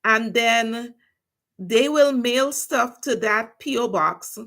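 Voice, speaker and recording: A woman's voice: fairly high pitch, slow, fairly bright tone. A woman with a Trinidadian accent chatting casually to her viewers on a livestream while she does her nails. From Trinidad and Tobago. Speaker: nail technician vlogger.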